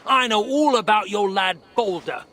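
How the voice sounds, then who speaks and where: An actor playing a gruff, blunt dwarf broker in a video game, with a British accent and a gravelly voice. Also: male voice, sits high, moderate pace, sharp tone. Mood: angry, bitter. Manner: blunt, irritable, swearing slang. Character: gruff, grumbling, grieving dwarf broker, crude-tongued. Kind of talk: video game cutscene acting.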